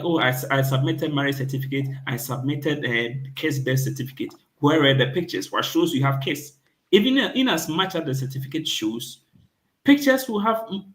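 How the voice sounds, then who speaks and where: Chatty man with a higher voice. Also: animated, normal speed, poor audio. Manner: chatty, interjects with asides and quoted examples. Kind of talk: casual chat.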